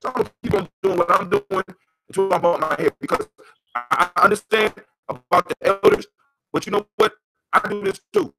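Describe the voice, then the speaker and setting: Creaky-voiced, emphatic American talk host. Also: medium pitch, brisk, over music, poor audio. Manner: emphatic, repeats phrases, checks in with "you know what I'm saying". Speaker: host of a call-in talk on folktales. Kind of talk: impassioned conversational teaching.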